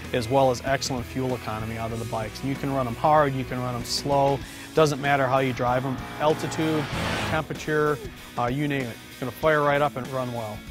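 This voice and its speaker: A male engineer explaining an engine system in an enthusiastic, conversational way, with fillers. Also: mid-range voice. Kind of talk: explaining on camera. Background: music bed.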